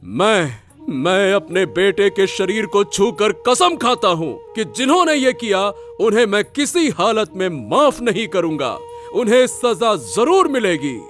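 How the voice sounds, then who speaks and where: A man's voice: high-pitched, sing-song, measured pace, a bit bright, soft background music, full character voice. A man voicing a desperate, grieving village father in a melodramatic cartoon story, with an overwrought delivery that repeats words. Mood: vengeful.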